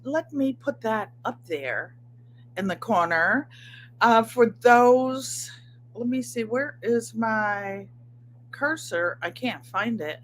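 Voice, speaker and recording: Chatty older New England woman, slow and higher. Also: a little hiss or hum. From Connecticut, USA. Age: 70s. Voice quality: slightly nasal, twangy. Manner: chatty, excitable, gestures with hands. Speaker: channel host. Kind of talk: casual livestream chat. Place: home room with bookshelves.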